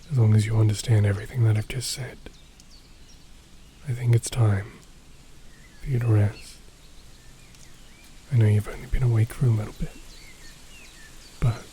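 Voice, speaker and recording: A man playing a gentle, reassuring captor in a soft, intimate ASMR roleplay, pausing often as he speaks. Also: lowish voice, slight background noise, natural voice. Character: gentle male captor soothing a kidnapped elf.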